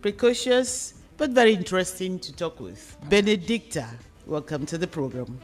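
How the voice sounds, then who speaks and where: A woman with a Nigerian accent hosting a radio discussion programme, phrasing her questions and points deliberately and emphatically. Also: on the low side, lively intonation, soft background music.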